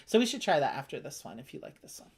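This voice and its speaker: Woman, slightly low pitch, slight lisp, casual chatty game host. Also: big pitch swings, fast, over a noisy crowd. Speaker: host teaching a board game playthrough. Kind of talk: casual chat while playing a roll-and-write game.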